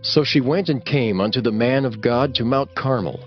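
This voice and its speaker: A man's voice: mid-range voice, moderate pace, dull audio, soft background music. A man narrating a scripture audiobook in a formal, solemn storytelling manner.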